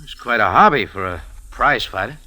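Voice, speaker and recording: A man's voice: fairly low pitch, expressive, moderate pace, sharp tone, no echo. An American man playing a cool, wry private investigator, narrating his case in dry, clipped 1940s radio style. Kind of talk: 1940s radio acting, first-person hardboiled narration. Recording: old radio recording with music behind.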